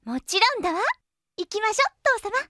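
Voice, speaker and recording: A woman's voice: extremely high pitch, sing-song, brisk, pushed and bright, no echo, clean studio sound, full character voice. A woman playing a cheerful, eager little girl who is bubbly and polite, in a Japanese fantasy audio drama. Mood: joyful. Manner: bubbly, polite to her parents.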